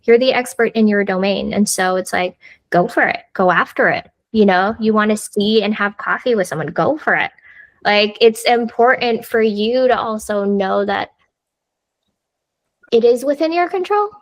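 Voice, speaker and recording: A woman's voice: mid-range voice, moderate pace, no room sound. Chatty woman guest. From United States. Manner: chatty, lots of fillers like "like" and "you know". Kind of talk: casual conversational interview.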